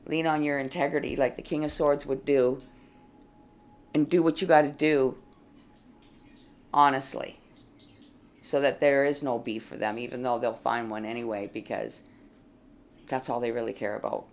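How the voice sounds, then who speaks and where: Blunt tarot reader with a froggy, gravelly voice. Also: mid-range voice, steady tone, normal speed, pauses often. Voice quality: dry, froggy voice. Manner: blunt and plain-spoken, swears freely. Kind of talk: casual tarot reading to camera.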